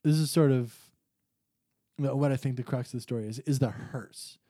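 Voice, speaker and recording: A man's voice: mid-range voice, normal speed, warm tone, no echo, clean studio sound. A Canadian man co-hosting a podcast, chatting casually and interjecting with wry teasing. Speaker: podcast co-host.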